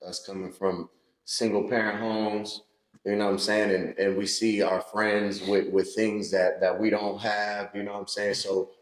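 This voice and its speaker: Low voice podcast host guy backing up his guest, roomy echo. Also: little pitch movement, moderate pace. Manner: affirming, sums up and rephrases the guest. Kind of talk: casual interview chat. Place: podcast studio room.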